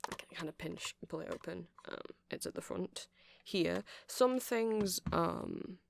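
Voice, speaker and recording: A man's voice: high voice, unhurried, creaky, slight background noise, full character voice. A man playing a nerdy, friendly vampire music shop clerk in an ASMR roleplay, rambling and hesitant as he explains things. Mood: chatty, nerdy. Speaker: shopkeeper character in ASMR roleplay.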